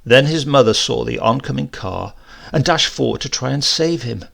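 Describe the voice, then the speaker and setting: A man's voice: mid-range voice, moderate pace, creaky, fairly bright tone. A man narrating a horror story in the first person, in a dramatic, brooding and suspenseful manner.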